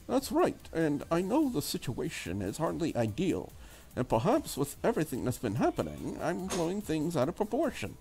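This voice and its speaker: A man's voice: on the high side, wildly swooping pitch, normal speed, darkish tone, soft background music, rough recording. A man voicing a preppy, critical young Englishwoman in a visual novel, prim and wordy, with an English accent. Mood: critical, fretful.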